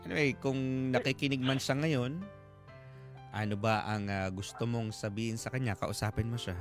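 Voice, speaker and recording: A man's voice: mid-range voice, moderate pace. A man hosting a radio advice programme, chatting casually and coaxing as he gives advice in hesitant, loosely phrased talk. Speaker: radio advice show host. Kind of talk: casual, conversational advice-giving. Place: radio studio. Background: faint background music.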